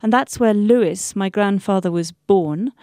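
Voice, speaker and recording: A woman's voice: mid-range voice, a little slow, mellow, no room sound, studio quality. Thoughtful woman paleontologist explaining. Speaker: paleontologist guest. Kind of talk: interview.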